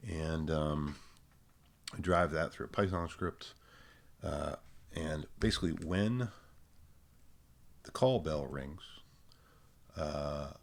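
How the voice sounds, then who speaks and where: Very deep, gravelly man explaining tech. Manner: informal, halting, thinking aloud. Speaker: presenter of a technical tutorial. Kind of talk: casual unscripted screencast walkthrough.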